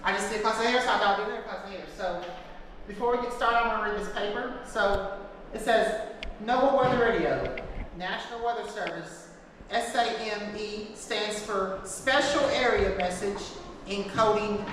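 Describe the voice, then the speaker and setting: A woman giving an informal class talk, partly reading from notes, speaking haltingly and repeating her phrases. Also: mid-range voice. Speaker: presenter teaching a class. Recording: echoey hall, distant mic, noisy background.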